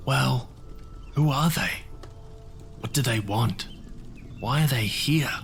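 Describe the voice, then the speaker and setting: A man playing a courteous, self-assured magical wanderer who collects stories, speaking in a measured, formal way in an audio drama. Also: mid-range voice, vocal fry, soft background music. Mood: polite, curious.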